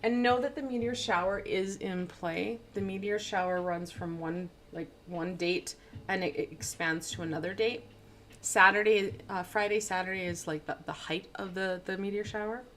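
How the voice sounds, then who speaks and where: Brief: a mid-pitched woman doing a chatty tarot stream, stumbling and joking about her slips, on a plug-in mic. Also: steady tone, moderate pace, fairly bright tone, a little hiss or hum. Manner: rambling, stumbling, self-deprecating. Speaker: tarot reader. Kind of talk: casual livestream tarot reading. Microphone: plug-in studio microphone.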